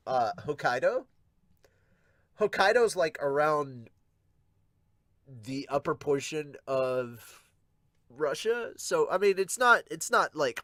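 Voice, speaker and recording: A male podcast host talks in a very lively, swooping way. He explains things emphatically in loose, casual chat and swears freely. The sound is dry, with hardly any room to it. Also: a little high, dry recording. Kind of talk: casual chat, manga reaction.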